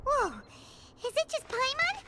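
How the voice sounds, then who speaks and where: A woman voicing a tiny, childlike fairy companion in an anime video game, with a squeaky, cutesy, excitable and nervous manner. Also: a little slow. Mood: startled, wary. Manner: squeaky, cutesy, refers to herself by name.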